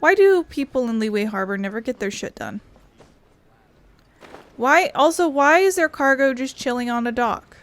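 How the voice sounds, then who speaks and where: Female let's-play host: casual, talkative, thinks aloud, fairly high voice over faint music. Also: expressive, normal speed, pauses often, a bit bright. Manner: chatty, thinking aloud, swearing. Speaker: gaming video host. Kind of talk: casual game commentary.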